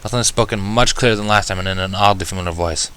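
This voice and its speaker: A man reading fan fiction aloud as an expressive first-person narrator. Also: low-pitched, rapid-fire, clear, resonant tone.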